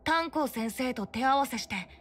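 A woman's voice: high-pitched, lively intonation, normal speed, fairly bright tone, husky, full character voice. A woman voicing an earnest, humble, boyish youth in an anime-style game, polite and a little hesitant. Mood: earnest, apologetic. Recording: faint music under the voice.

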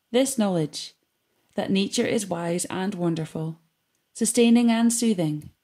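A woman reading a scripted, reflective piece with poetry for a community gathering in a measured, earnest way. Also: fairly low pitch, warm tone.

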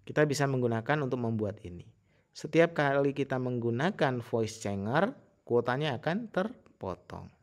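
A man giving a casual, informal software tutorial in an explanatory way. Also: mid-range voice, lively intonation, moderate pace. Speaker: tutorial host.

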